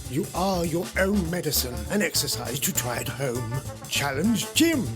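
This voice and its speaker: A man's voice: on the high side, big pitch swings, measured pace, music in the background. A man announcing a show's segments and sponsor in jingle style.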